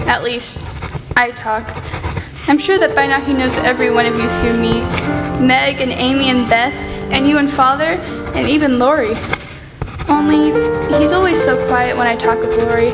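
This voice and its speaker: A teenage woman student playing an earnest, eager young writer in a radio play, expressive and forthright. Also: high-pitched, lightly acted. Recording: echoey hall, music behind.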